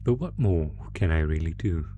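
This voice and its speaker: A man voicing a sadistic monster in a horror roleplay audio drama, toying with a captive in a taunting, mock-polite manner with savoring pauses. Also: a bass voice, lively intonation, muffled recording, music in the background. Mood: savoring, cruel. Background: musical score.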